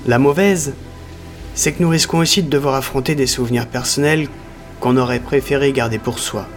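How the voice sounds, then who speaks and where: A man's voice: medium pitch, steady tone, moderate pace, soft background music, natural voice. A man voicing a stern, authoritative male lieutenant who gives firm, clipped orders. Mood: measured.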